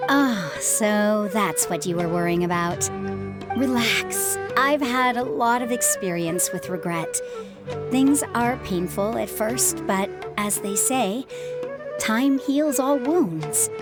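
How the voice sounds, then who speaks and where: A woman playing a calm, reassuring middle-aged mother and former adventurer in a video game. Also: mid-range voice, slow pace, close to an everyday voice. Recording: music playing behind the voice.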